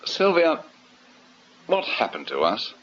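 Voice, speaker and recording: An actor playing a devious, smooth, self-assured failed financier in a radio comedy drama. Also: male voice, a little high, wildly swooping pitch, slow pace, fairly bright tone, heavily acted. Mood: breezy, wry. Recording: muffled, noisy radio broadcast copy.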